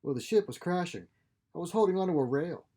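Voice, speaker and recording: A man's voice: high-pitched, lively intonation, brisk, warm tone, voice pushed up. A man voicing an easygoing, reassuring woman traveller in a science fiction story. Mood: casual. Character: easygoing sister, a revived female space traveller.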